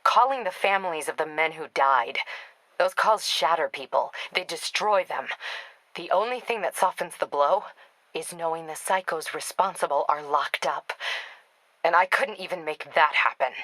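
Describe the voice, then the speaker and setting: A woman's voice: low-pitched, moderate pace, pushed and bright, dry recording, low-quality recording. An American woman playing a tough, driven police captain in a video game, speaking in a clipped, authoritative, dry manner. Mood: weary, bitter.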